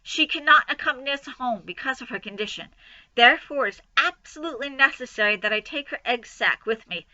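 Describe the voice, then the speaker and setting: A woman voicing an excitable young male pig in a storybook reading, high, loud and pleading. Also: fairly quick, no room sound, highs cut off, full character voice. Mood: frantic.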